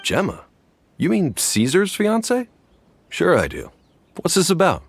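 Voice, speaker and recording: An actor playing a sympathetic townsman in a game, talking gently and sighing over someone's sad story. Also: sits high, wildly swooping pitch, a little slow, creaky, slight background noise, full character voice. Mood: sorrowful. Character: sympathetic older townsman at a graveyard.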